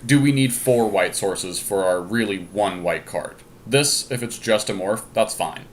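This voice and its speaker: Low-voiced man thinking out loud. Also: lively intonation, measured pace, a little hiss or hum. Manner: deliberating, counting and reasoning aloud. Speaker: podcast host drafting a card game deck. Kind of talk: casual thinking-aloud game commentary.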